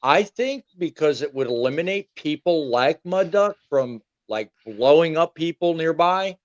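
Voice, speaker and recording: A man hosting a live-streamed call-in chat show, explaining technical details at length and chiming in often with quick agreement. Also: a little high, animated, no echo, dull audio. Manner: explains technical points at length, frequent "yeah". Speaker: show host.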